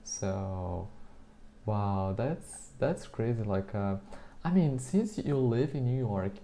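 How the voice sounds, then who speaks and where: A man's voice: fairly low pitch, expressive, slow, gravelly, dark tone, slight background noise. A man whose first language is Portuguese, chatting in English as the interviewer, talking on and on while filling his sentences with "like" and small restarts. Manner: chatty, hesitant, leans heavily on the filler "like". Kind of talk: casual street conversation.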